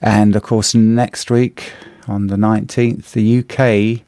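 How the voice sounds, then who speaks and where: A middle-aged man with a New Zealand accent, a slightly hoarse radio host chatting through the day's newspaper, halting with many fillers and restarts. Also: on the low side, level tone, dark tone, no echo, studio quality. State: a bit hoarse. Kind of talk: casual radio chat reviewing the newspaper.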